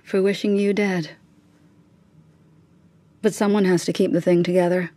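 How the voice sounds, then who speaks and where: A woman's voice: fairly low pitch, fairly even intonation, moderate pace, dark tone, no room sound, lightly acted. Ruthless, scornful mother in a dry radio drama, played by an actress. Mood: quietly cutting. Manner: insistent, scornful, clipped rhetorical questions. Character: ruthless, scheming middle-aged mother fiercely defending her son.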